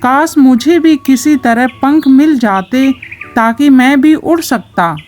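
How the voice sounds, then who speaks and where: A woman's voice: high-pitched, slow pace, a little mellow, slight background noise. A woman voicing a young male horse, wishful and boastfully determined, in a children's cartoon story. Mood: wistful.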